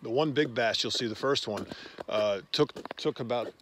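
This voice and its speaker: A man in his fifties narrating a casual outdoor vlog as a father, talking haltingly in short phrases with frequent pauses. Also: medium pitch, fairly bright tone. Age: 50s. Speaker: host, father fishing with his sons. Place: outdoors on a frozen lake. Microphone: phone at arm's length. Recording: noisy outdoor phone recording.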